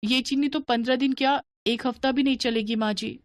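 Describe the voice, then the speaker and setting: A woman's voice: sits high, fairly even intonation, fairly quick, no room sound, studio quality, voice pushed up, close to an everyday voice. A woman voicing a young, timid daughter-in-law in a cartoon story. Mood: pleading.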